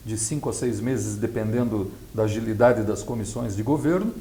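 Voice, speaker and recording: A Brazilian man giving explanatory interview answers as a specialist, in a measured, didactic way. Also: medium pitch, vocal fry, darkish tone. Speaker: accountant and project specialist being interviewed. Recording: echoey, roomy sound.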